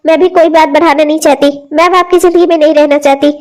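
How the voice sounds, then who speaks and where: Sudha, a betrayed young wife, played by a woman, pours out her hurt in tearful, pleading bursts. Her voice sits extremely high, almost girlish, in a homemade audio drama. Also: fairly even intonation, quick pace, natural voice. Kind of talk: amateur audio drama acting.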